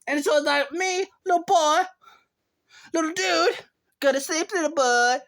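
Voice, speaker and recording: A man putting on a squeaky, agitated complainer who rants in exclamations, repeating himself and drawing out words. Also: exaggerated voice. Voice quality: high, bright, squeaky. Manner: exclamatory, repetitive, drawn-out words. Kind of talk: rambling solo rant.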